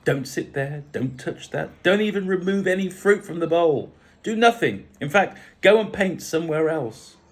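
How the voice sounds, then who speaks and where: A man's voice: a little high, animated, moderate pace, voice pushed up, close to an everyday voice. A man voicing an overzealous, bossy housekeeper woman in a storybook reading. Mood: frantic scolding. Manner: frantic, bossy.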